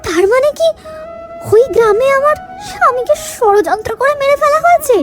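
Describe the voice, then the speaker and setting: A woman's voice: extremely high pitch, lively intonation, moderate pace, soft background music. A woman voicing a distressed village woman in a cartoon story, anxious and questioning. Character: distressed village woman, worried about a lost loved one.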